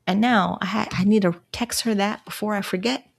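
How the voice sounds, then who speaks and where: A woman from Canada talking alone on her livestream, rambling and easygoing. She laughs often between thoughts, and her voice jumps higher when something excites her. She has a mid-range voice and sounds like she is in her thirties. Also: fairly quick, vocal fry. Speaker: livestream host. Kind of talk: casual solo livestream talk.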